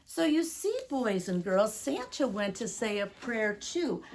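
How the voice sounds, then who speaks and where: A woman reading a rhyming story aloud to young children in an expressive, deliberate storytime manner. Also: medium pitch, rough recording.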